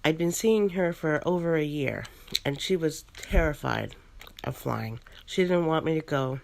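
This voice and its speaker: A woman's voice: sits low, measured pace, vocal fry. Woman telling an ASMR ghost story, gum clicking. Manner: chews gum while narrating.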